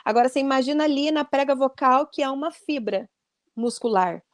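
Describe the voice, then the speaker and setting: A woman's voice: sits high, fairly quick, no room sound, studio quality. A woman speech therapist giving an earnest explanatory talk on a video call, often adding "né?". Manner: earnest and explanatory, many "né?" tags.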